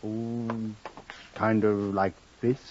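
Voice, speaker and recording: An actor playing a polite, secretive gentleman doctor with a coy, genteel manner in an old-time radio drama. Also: on the low side, level tone, very slow, slight background noise. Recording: muffled old radio recording.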